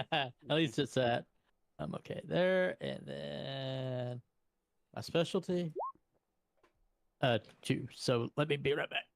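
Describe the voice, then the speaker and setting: A man's voice: mid-range voice, takes it slowly, creaky, long pauses. A man chatting casually as a player in a tabletop roleplaying game.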